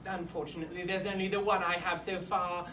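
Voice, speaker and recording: A woman's voice: fairly low pitch, flat delivery, brisk, a bit bright, close to an everyday voice. A woman playing a pompous, awkward boarding school headmaster in a comic monologue, with fussy, rambling formal politeness. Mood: awkward chatter. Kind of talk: comic monologue in a speech competition performance. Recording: roomy, distant mic, clear background noise.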